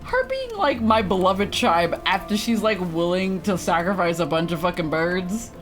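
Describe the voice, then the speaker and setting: A woman's voice: fairly low pitch, big pitch swings, moderate pace. A woman streamer giving blunt, sarcastic, casual commentary on a game. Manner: blunt, sarcastic, swearing, giggly. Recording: faint game music behind.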